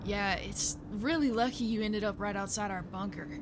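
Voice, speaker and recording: A woman's voice: medium pitch, moderate pace, background noise, close to an everyday voice. A woman voicing a cocky, tough young zombie fighter with a bold, blunt, wisecracking manner, in a dramatized horror comic. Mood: skeptical. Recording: loud music behind the voice.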